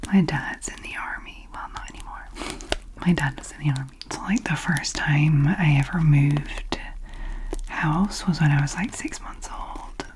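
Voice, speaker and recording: A woman with a light Scottish accent, whispering softly and hesitantly close to the microphones as an ASMR creator. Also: sits low, measured pace, low-quality recording. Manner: soft unvoiced whisper, rambling and hesitant. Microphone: close to sensitive mics.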